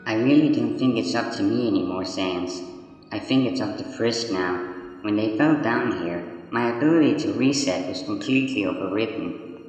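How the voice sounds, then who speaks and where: Man voicing echoing, gentle, earnest talking flower. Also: fairly low pitch, normal speed, natural voice. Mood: reassuring. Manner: hesitant, earnest. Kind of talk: comic dub acting. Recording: cavernous reverb over background music.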